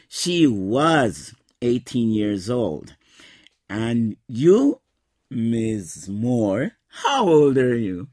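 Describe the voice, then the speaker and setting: A man, teaching an English lesson in a slow, patient scripted style. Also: mid-range voice, darkish tone. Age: 60s.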